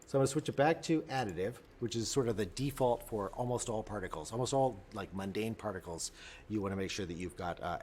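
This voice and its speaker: A man explaining software step by step in an unscripted tutorial, often repeating and rephrasing himself as he goes. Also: on the low side, slight background noise.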